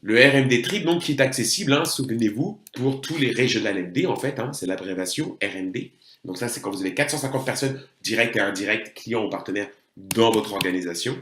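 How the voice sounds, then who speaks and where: A man's voice: fairly low pitch, a little fast, a bit bright. A man with a French accent presenting a network marketing plan in an emphatic, salesy way, often putting rhetorical questions to his listeners. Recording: roomy, echoing voice recording.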